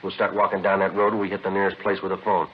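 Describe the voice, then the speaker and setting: An actor playing a tough, cool American police detective in a vintage radio crime drama, giving terse, clipped commands. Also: male voice, deep voice, completely flat delivery, fast, lightly acted. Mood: menacing, commanding. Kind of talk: 1940s-50s radio crime drama acting. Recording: dull, noisy vintage radio recording.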